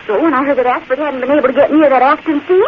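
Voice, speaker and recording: A woman's voice: sits high, steady tone, very fast, lightly acted. An actress playing a plucky, coy young woman reporter with a Southern US accent, folksy and mock-innocent, in 1940s radio drama style. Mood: coy, eager. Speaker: newspaper reporter. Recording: noisy, muffled 1940s radio recording.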